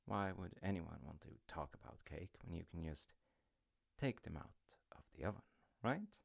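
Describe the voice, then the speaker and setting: A man acts out an affectionate, easygoing father in a cozy audio roleplay. His voice sits very low with a gravelly creak, and it moves up and down in a lively way. He speaks softly and tenderly, often dropping toward a breathy half-whisper. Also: moderate pace, exaggerated voice. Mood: playful, cozy. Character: gentle, affectionate mythical dad, homely and easygoing. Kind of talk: intimate comfort audio roleplay.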